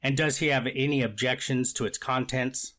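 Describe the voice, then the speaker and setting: A man's voice: medium pitch, steady tone, moderate pace, fairly bright tone, lightly acted. A man playing a formal, methodical court official in a scripted readers theater courtroom drama. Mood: procedural, authoritative. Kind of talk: amateur readers theater, scripted legal reading.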